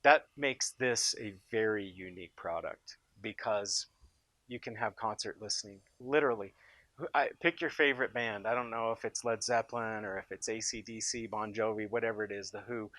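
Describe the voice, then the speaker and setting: An American man presents a product in an informal, unscripted way. His voice sits on the low side, and he is enthusiastic, leaning on emphatic praise. The sound is dry, with very little room echo. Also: normal speed, a bit bright. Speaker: company founder presenting his loudspeaker in a product demo.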